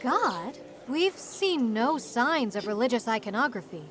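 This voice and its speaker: A woman's voice: a little high, very animated, measured pace, a bit bright, a little hiss or hum. A woman playing a skeptical, scientifically minded expedition companion in an audio drama. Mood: skeptical, analytical.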